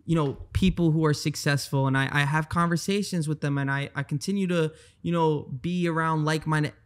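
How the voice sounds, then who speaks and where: A man's voice: on the high side, steady tone, a little fast, clear, resonant tone. A young man in his twenties, a guest on a podcast, talking earnestly into a handheld mic and often repeating words as he thinks. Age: 20s. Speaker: guest, trader. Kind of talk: casual podcast interview. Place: bright high-rise living room.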